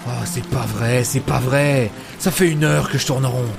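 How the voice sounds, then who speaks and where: A man's voice: medium pitch, moderate pace, creaky, natural voice. Man playing a grumbling, sardonic adventurer over music. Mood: exasperated. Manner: grumbling, sardonic, muttering to himself. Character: grumpy, sarcastic, world-weary male adventurer. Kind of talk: French audio drama acting.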